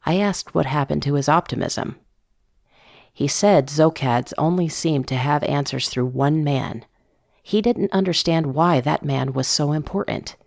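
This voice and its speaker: A woman giving a measured, intimate first-person narration of a scripted drama, as if reading from a diary. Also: low-pitched, creaky, a little mellow, dry recording, clean studio sound. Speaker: narrator of a fiction podcast story.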